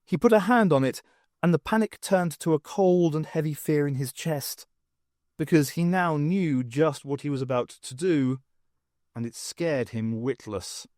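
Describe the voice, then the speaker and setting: Male audiobook narrator, fairly high-set voice, dry close sound, scripted fiction read. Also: a little slow, a little mellow, no room sound.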